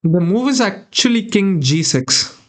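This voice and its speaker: A man's voice: high-pitched, very animated, a little slow. A young man from India with an Indian accent, thinking aloud as he comments on a chess game and chats with his viewers from a small room. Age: young adult. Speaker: chess streamer.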